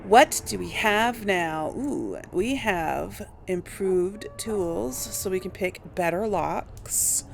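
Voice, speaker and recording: A woman's voice: mid-range voice, lively intonation, slow pace, gravelly, hardly any pauses. A woman giving casual game commentary, thinking aloud in a hesitant way. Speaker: video game player narrating a role play. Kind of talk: casual let's play commentary.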